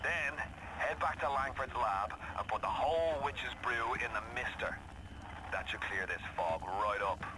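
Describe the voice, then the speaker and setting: Folksy Irish rebel guide, heavy noise. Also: male voice, mid-range voice, lively intonation, unhurried. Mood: urgent coaxing. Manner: folksy, colloquial, urging. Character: gruff, chummy Irish rebel man guiding the player. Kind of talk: video game voice acting, radio guidance. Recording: very noisy game audio, radio crackle.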